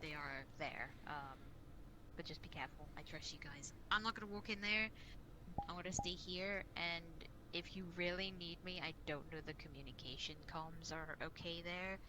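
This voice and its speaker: A woman's voice: fairly low pitch, normal speed. A woman in her twenties chatting casually and giving informal instructions as a roleplay group leader, often self-deprecating. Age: 20s. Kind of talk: casual improvised roleplay chat. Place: online virtual-reality voice chat. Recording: clearly noisy online voice chat.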